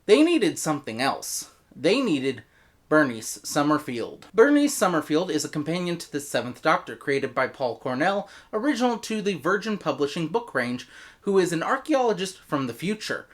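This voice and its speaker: An American man presents a scripted review as its host. His pitch moves around a great deal, giving his narration an expressive, animated delivery. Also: normal speed. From United States.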